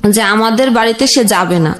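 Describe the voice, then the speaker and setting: A Bangladeshi woman recounts her own experiences in a higher-pitched voice. She speaks plainly and haltingly, often rephrasing as she goes. The sound is roomy, with clear echo. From Jashore region, Bangladesh. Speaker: guest telling her life story. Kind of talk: personal storytelling in conversation.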